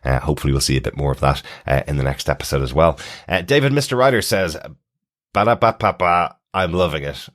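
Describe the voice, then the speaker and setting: A man's voice: rumbling low, fast talker. A man co-hosting a casual TV review podcast, chatting conversationally and reading out feedback from listeners. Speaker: podcast co-host.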